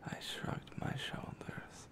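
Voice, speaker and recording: A man reading a romantic story aloud in a slow, intimate ASMR whisper. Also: a bit bright. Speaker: ASMR creator.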